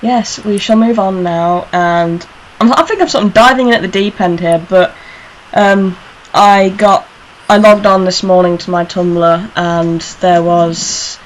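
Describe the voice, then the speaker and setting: A woman's voice: fairly low pitch, unhurried, poor audio. A woman hosting a radio discussion in a casual, chatty style. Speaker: radio show host. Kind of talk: casual chat. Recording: faint background noise.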